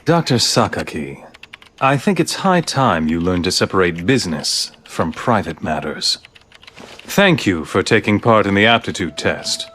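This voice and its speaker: Low, commanding male director. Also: sing-song, a bit bright, a little hiss or hum, exaggerated voice. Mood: formal. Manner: formal, measured, commanding. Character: stern, dignified male branch director, authoritative. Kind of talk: video game cutscene voice acting.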